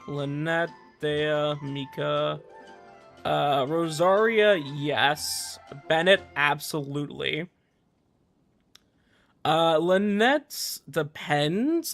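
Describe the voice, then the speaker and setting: A man's voice: fairly high pitch, creaky, a bit bright. Chatty male game streamer, casual. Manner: chatty, repeats words, trailing off. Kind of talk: casual livestream commentary.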